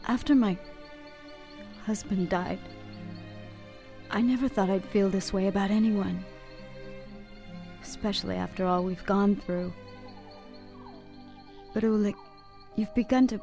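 An actress playing a caring, worried Jedi, earnest and quietly devoted, in an audio drama. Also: female voice, mid-range voice, vocal fry. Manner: earnest, gently reproachful.

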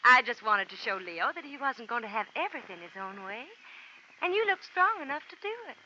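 A woman's voice: a little high, animated, fairly quick, pushed and bright, a little hiss or hum. A woman playing an earnest, pleading young daughter in a 1940s radio drama. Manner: earnest, imploring. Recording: muffled vintage radio recording.

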